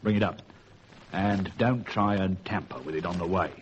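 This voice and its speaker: An actor playing a cold, ruthless, middle-aged British ex-convict and master thief in a vintage radio crime drama, commanding and scornful in an articulate way. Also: male voice, low voice, normal speed, lightly acted. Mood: coolly controlled. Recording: dull, noisy old radio recording.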